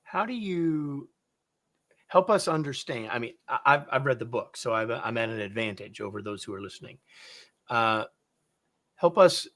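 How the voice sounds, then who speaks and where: A man's voice: mid-range voice, fairly bright tone. Male host asking a probing question. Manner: probing, thinks aloud while asking. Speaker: podcast host. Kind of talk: interview questions.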